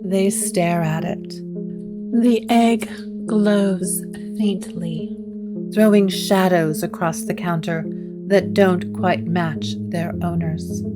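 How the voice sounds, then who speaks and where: A woman narrates a gothic audio drama with a solemn, atmospheric delivery. Her pace is slow and measured, her pitch a little low. Music plays clearly behind her. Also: fairly even intonation.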